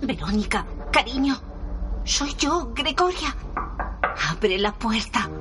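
Sombre female survivor, an actress's role: unhurried, solemn delivery, roomy sound, radio drama. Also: on the high side, animated. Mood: gentle coaxing. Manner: slow, solemn, deliberate. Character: wounded, sombre woman, survivor of violent abuse. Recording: roomy echo under music.

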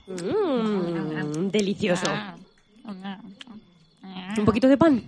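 A woman playing a hungry, timid animal musician in a radio fairy tale, with drawn-out, exaggerated delivery. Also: medium pitch, big pitch swings, crowd noise. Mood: greedy. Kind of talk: radio theatre acting.

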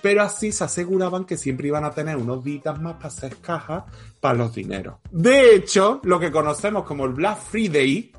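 A man's voice: a little high, husky. A man from co-hosting a casual podcast, telling trivia in a playful, jokey way and laughing often. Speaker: podcast co-host. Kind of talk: casual chat, storytelling trivia.

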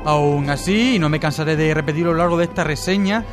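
A man's voice: a little high, fast, faint music behind. A man from Spain with a Spanish accent giving a casual, jokey radio review, partly read out and sometimes stumbling. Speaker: radio show contributor reviewing TV series.

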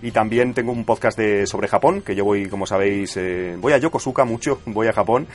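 Fast-talking low-voiced male guest. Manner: eager, talks fast, adds asides. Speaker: podcast guest, podcaster. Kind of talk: casual podcast chat.

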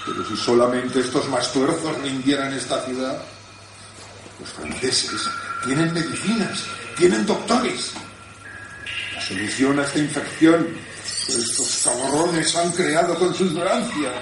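A man's voice: medium pitch, animated, a little slow. An actor playing an anguished, bitter father protecting his sick daughter, melodramatic in a radio drama. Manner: melodramatic, tender then cursing. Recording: very echoey, distant, music behind.

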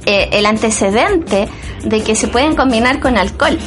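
A woman co-hosting a relaxed radio talk show, teasing her partner and laughing easily. Her voice sits a little higher than most women's. Light music runs faintly behind her. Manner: playful, teasing, quick to laugh. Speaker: radio co-host. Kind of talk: casual radio chat.

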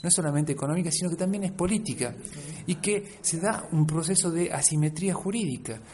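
Argentine man, slightly high voice, noisy recording. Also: fairly quick, darkish tone. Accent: Argentine Spanish. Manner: emphatic, discursive, often adds tag questions. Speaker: interviewed academic researcher and author. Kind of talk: explanatory radio interview. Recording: clear background noise.

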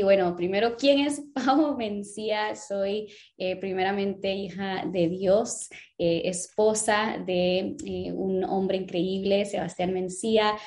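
A woman in her thirties, originally from Guatemala, speaks as an interview guest over what sounds like a call mic. Her voice sits at a middle pitch. She tells her story earnestly and fills her pauses with "eh". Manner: warm faith-filled storytelling with frequent "eh" fillers. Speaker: interview guest, lettering teacher and business owner. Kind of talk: casual interview conversation.

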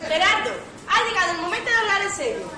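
Woman acting a bossy young fiancee, really high voice, emphatic, farce on a noisy echoey stage. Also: very animated, fast talker. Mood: indignant, decided. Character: brisk, bossy young bride-to-be, indignant and decided. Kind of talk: amateur comic radio theatre. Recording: roomy distant stage recording, noisy audience.

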